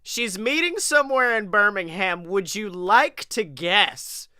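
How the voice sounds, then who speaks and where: A man's voice: high voice, expressive, a little slow, pushed and bright, clean tone, no room sound, studio quality. A man in his twenties with an American accent, joking and teasing as he chats as a podcast co-host. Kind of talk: casual podcast banter about wrestling. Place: home room, indoors.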